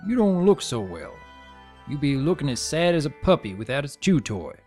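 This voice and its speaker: A man's voice: a little high, very animated, moderate pace, a little mellow, faint music behind. A man voicing a kindly, plain-spoken male cartoon farm pony with a folksy rural drawl. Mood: concerned. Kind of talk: dramatic cartoon fan-fiction reading.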